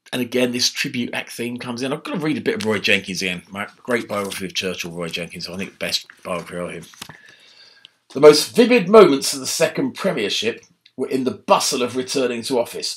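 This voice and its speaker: Animated older man with a husky, bright voice. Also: mid-range voice. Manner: animated, conversational, gesturing with his hands. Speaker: history lecturer. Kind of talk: informal online history talk. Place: home study with bookshelves. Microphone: laptop webcam mic.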